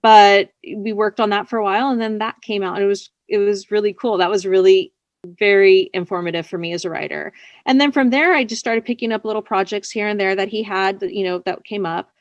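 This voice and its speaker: A woman's voice: mid-range voice, fairly quick, dry recording, lo-fi sound. A woman chatting casually as an interview guest, a writer with a self-deprecating, chatty manner. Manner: chatty, self-deprecating, often laughing lightly as she talks.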